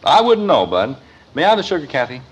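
A man's voice: a little high, wildly swooping pitch, quick pace. An actor with an American accent playing a genial, mildly exasperated suburban father in a 1950s radio sitcom. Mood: dry. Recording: noisy old radio recording, loud studio audience.

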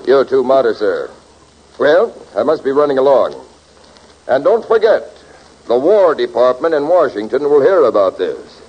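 An actor playing an earnest, officious army colonel in 1940s radio comedy, formal and hearty. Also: male voice, medium pitch, sing-song, normal speed, slight background noise, lightly acted. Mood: earnest praise. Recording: muffled old radio recording.

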